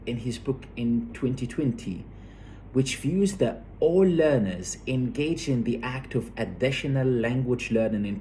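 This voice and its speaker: A male lecturer explains academic material at a very unhurried pace. His voice is mid-pitched and a little mellow, and he hesitates, repeats words and checks understanding as he goes. A faint noise sits behind him. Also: low-quality recording.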